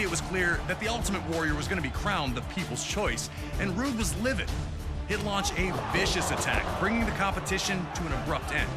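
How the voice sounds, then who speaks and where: A man narrating a wrestling match like a dramatic sports documentary, in an emphatic storytelling manner. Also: a little high. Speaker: narrator of a wrestling game showcase.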